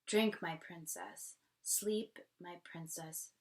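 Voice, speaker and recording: A woman voicing a gentle, loving mother who coaxes and soothes her daughter in a picture-book read-aloud. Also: fairly low pitch, fairly even intonation, takes it slowly, vocal fry. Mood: tender, soothing.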